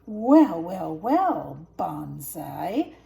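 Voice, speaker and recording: A woman's voice: fairly low pitch, very animated, very slow, creaky, mellow, exaggerated voice. Woman voicing a menacing, taunting hyena. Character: menacing, mocking hyena in a storybook.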